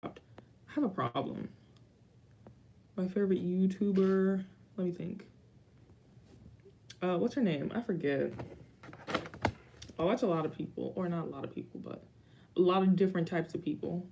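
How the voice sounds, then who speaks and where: A woman's voice: fairly low pitch, moderate pace, gravelly, long pauses, warm tone. A young woman in her twenties answering viewer questions in a rambling, casual vlog chat with dry asides. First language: Haitian Creole. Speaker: YouTuber.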